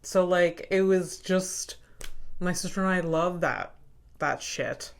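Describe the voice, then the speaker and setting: Low-voiced woman chatting casually. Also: takes it slowly, gravelly. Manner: laughs often, lots of filler "like". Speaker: podcast co-host. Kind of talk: casual chat.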